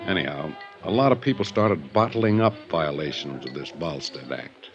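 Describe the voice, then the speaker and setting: An actor playing a weary, wry ex-bootlegger in his fifties in a radio drama, musing slowly with pauses. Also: rumbling low, animated. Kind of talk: 1950s radio drama acting. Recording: muffled 1950s radio recording with music.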